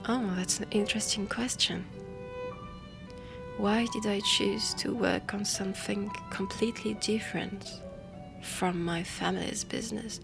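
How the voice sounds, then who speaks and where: A woman playing a refined, ambitious heiress inventor in a steampunk audio roleplay, speaking softly and deliberately with a French accent, often close to a whisper. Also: medium pitch, steady tone, gravelly. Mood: pleasant, musing. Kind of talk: soft intimate audio roleplay. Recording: music under the voice. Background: background music.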